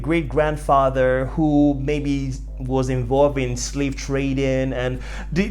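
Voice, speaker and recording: Young Nigerian vlogger, emphatic storyteller with very lively intonation, faint music underneath. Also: medium pitch. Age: young adult. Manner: emphatic, repeats phrases for effect. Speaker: vlogger telling personal stories. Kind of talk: animated storytelling to camera.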